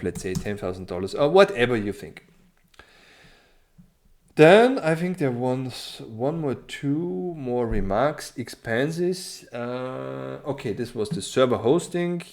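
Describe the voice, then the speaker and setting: Hesitant Austrian man walking through a tutorial. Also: mid-range voice. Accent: Austrian German. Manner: hesitant, many ums and restarts, friendly. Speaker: tutorial presenter. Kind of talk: screen-share software tutorial.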